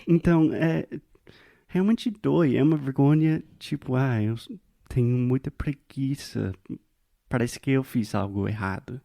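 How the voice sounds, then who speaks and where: American man with a gravelly, mellow voice. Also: mid-range voice, a little slow, no echo, clean studio sound. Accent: American English. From United States. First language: English. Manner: earnest and reflective, thinks aloud. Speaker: podcast co-host. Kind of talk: casual conversation.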